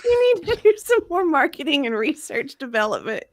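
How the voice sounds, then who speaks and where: A woman's voice: sits high, big pitch swings, fairly quick. A woman guest reading a witness's part in a deposition, with casual banter, some hesitation and giggles. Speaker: guest co-reader.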